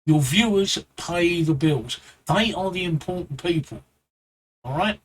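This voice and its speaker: Blunt husky London man, high voice. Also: a little slow. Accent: London English. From England. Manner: blunt, repeats himself, rambling asides. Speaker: streamer. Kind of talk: live stream advice monologue.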